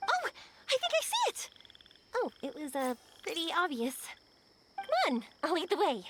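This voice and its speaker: Spirited young anime game girl, high voice that bounces around a lot, playful n upbeat. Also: huge pitch swings, a little slow, very noisy, heavily acted. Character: cheerful, spirited, warm-hearted young woman. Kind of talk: anime-style video game voice acting.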